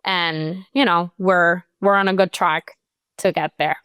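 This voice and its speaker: A young woman from Colombia talks through her career as an interview guest, her voice smooth and clear. She chats easily, filling her talk with "like" and "you know". The sound is close and dry. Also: lowish voice, fairly even intonation, unhurried, fairly bright tone, no room sound. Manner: chatty, many "like" and "you know" fillers. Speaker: guest, real estate agent. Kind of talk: casual interview chat.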